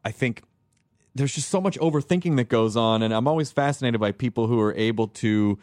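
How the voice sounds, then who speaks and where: A man's voice: mid-range voice, a little fast, a little mellow, dry recording, studio quality. A man hosting a casual chat, joking and swearing freely, often restarting his sentences.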